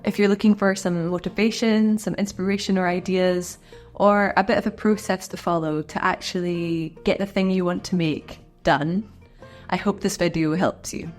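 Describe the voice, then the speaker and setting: A woman's voice: fairly low pitch, fairly even intonation, moderate pace, soft background music. A woman artist chatting to camera in an encouraging, friendly way, sounding a little stuffed up from a cold. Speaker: artist and video creator. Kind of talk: casual talk to camera.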